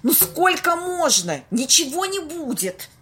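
An amateur actress playing a skeptical, bossy and impatient friend in an audio play. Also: female voice, high voice, sing-song, unhurried, fairly bright tone, slight background noise, full character voice. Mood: exasperated.

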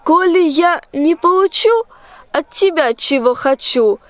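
A young woman playing a wilful, lovesick tsar's daughter in a rhymed radio play, demanding and deliberate in her verse. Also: extremely high pitch, animated, no room sound. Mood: pleading, threatening. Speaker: student actor in a school radio play. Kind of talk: rhymed folk-tale radio play acting.

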